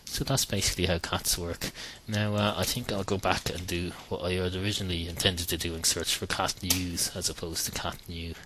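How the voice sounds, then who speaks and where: A very deep-voiced man hosts his own podcast in a loose, rambling comic monologue. He is dryly sarcastic and swears now and then, stumbling through ums and repeated words as he goes. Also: level tone, brisk, few pauses, bright, forceful tone, slight background noise, low-quality recording. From Ireland. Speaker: podcast host.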